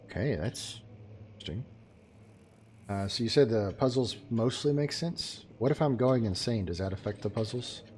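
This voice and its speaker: A man's voice: mid-range voice, lively intonation, moderate pace, a little mellow, slight background noise. A man streaming a game live, chatting casually and joking with his viewers as he plays. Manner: chatty, joking, talks to chat while playing. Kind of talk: casual live gameplay commentary.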